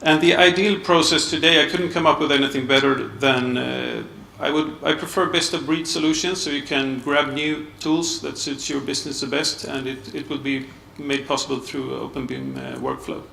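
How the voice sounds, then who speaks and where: A man giving a conference panel talk, halting with restarts and many uhs. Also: mid-range voice, steady tone, gravelly, bright, forceful tone. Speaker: panel speaker, architect. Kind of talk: conference presentation. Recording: echoey hall, mic off at a distance, faint room noise.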